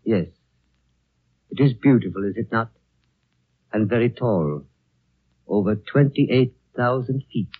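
An actor in a 1930s radio drama playing a courteous, evasive lamasery host, speaking in formal, measured phrases. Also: male voice, medium pitch, breathy edge. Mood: serene. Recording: old muffled radio recording, music behind.

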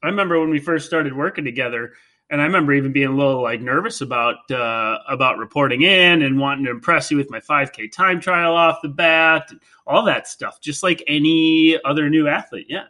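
A man's voice: a little high, brisk, pushed and bright. A man in his thirties with a Midwestern American accent, interviewing his co-host in a casual podcast, rambling with many fillers and restarts. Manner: rambling, hesitant, filler-heavy.

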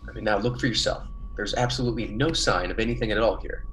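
A man's voice: fairly low pitch, fast talker, fairly bright tone, close to an everyday voice. A man playing an earnest, defensive biologist on a colony planet in a classic radio play, emphatic and easily flustered. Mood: reasoning.

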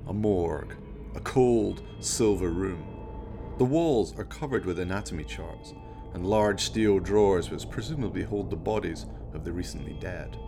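A man's voice: sits low, moderate pace, vocal fry, music in the background. A man giving scripted narration as the storyteller of a radio play.

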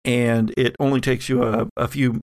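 A man acting as game master, narrating a horror tabletop game in a halting, descriptive way. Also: medium pitch, little pitch movement, a little mellow.

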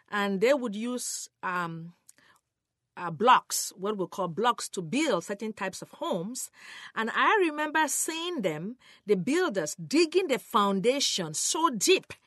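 A woman's voice: on the high side, big pitch swings, takes it slowly, fairly bright tone, dry recording, studio quality. A woman teaching the Bible in a sermon style, emphatic and earnest, speaking directly to her listeners. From Cameroon, West Africa.

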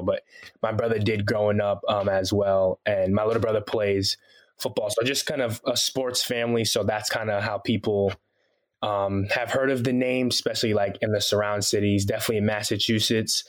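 Laid-back young American man. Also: lowish voice. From Haiti, grew up in Boston. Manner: relaxed, laughs often, many fillers. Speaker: podcast guest. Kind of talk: casual interview chat.

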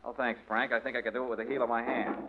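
An actor playing a wry, take-charge private detective in old radio drama style, brisk, bossy and dryly patient. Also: male voice, mid-range voice, flat delivery, slight background noise, close to an everyday voice. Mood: calm, focused. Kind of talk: 1940s-50s radio detective drama acting. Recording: muffled vintage radio recording.

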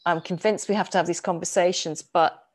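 A woman's voice: fairly low pitch, steady tone, brisk. A middle-aged woman from the UK, an author chatting thoughtfully with frequent restarts. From United Kingdom. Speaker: author and guest. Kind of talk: casual conversation.

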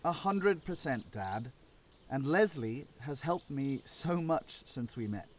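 A man's voice: medium pitch, lively intonation, slow, slight background noise. A man acting a sheepish, earnest young son in an audio play, with a protesting manner.

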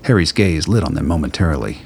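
Very deep-voiced male narrator. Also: expressive, quick pace, a little mellow. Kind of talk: scripted radio play narration. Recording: faint noise and ambience.

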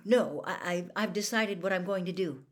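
A woman voicing a nervous, guarded teenage boy in an audiobook, halting and stammering. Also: fairly low pitch, close to an everyday voice. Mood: nervous, evasive. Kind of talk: audiobook character acting.

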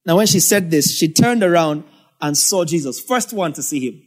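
Man, nigerian english accent, pastor, sermon, high voice, chatty storytelling asides. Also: lively intonation, moderate pace.

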